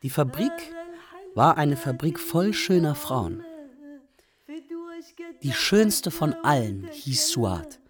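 An actress plays an admiring storyteller in a radio drama. The woman's voice is very low yet lively in its rise and fall, set against a clearly audible crowd. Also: slow pace, long pauses, full character voice. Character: narrator of a refugee's factory memories, admiring and expressive. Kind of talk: literary radio drama narration.

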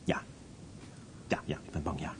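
A man's voice: moderate pace, in a whisper, close to an everyday voice. An actor playing a nervous, sarcastic male escaped prisoner who protests anxiously, in a radio play.

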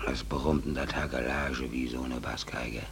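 Deep-voiced, grim sailor. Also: male voice, fairly even intonation, normal speed, vocal fry, slight background noise, full character voice. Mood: haunted, intense. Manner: brooding, swinging between muttering and loud intensity. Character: grim, fatalistic male sailor from a doomed freighter. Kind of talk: 1940s German radio play acting. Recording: 1940s radio recording with music.

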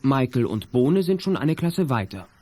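A man's voice: mid-range voice, big pitch swings, moderate pace, a little mellow. A man narrating a youth audio drama as a scripted storyteller.

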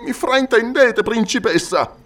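A high-pitched, affected nobleman, acted out by a man reading a fantasy storybook. He is courtly and proud, quick to take offence, and speaks like an aristocratic fop. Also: big pitch swings, fairly bright tone, slight background noise, full character voice. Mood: wounded, earnest. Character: foppish, proud, easily offended aristocratic count.

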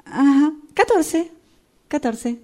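A woman's voice: sits high, animated, slow, dark tone. A woman playing someone who ponders each reply, in a radio drama workshop exercise. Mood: pondering.

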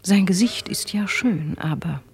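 Aristocratic marquise, lowish female voice, imperious, scornful, measured, literary radio drama. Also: expressive, creaky, darkish tone. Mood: disdainful. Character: haughty, commanding Parisian marquise, scornful and cutting.

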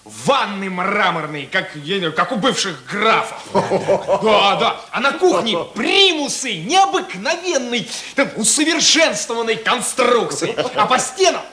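A wry male detective in a radio play, high and bright in voice. He teases and grumbles comically, exclaiming freely, with room echo around him. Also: very animated, a little slow, hardly any pauses, full character voice. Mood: amused, wondering. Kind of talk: naturalistic Russian radio drama acting.

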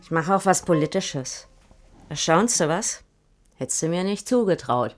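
An actress playing an excitable, free-spirited, flirtatious woman in a naturalistic radio drama, breezy and teasing. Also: on the low side, moderate pace, soft background music, natural voice. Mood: exuberant, proud.

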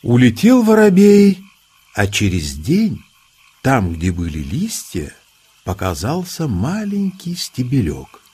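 A man narrating a scripted children's tale as an expressive storyteller. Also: medium pitch, slow pace, slightly rough. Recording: music under the voice. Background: music.

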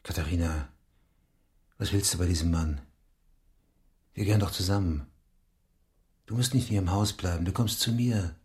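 An actor playing a middle-aged police inspector in a radio drama, terse, earnest and brooding. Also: male voice, low-pitched, normal speed, pauses often, darkish tone, breathy edge. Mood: pleading. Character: brooding male police inspector, lovelorn, dutiful. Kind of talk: German radio drama acting with first-person narration.

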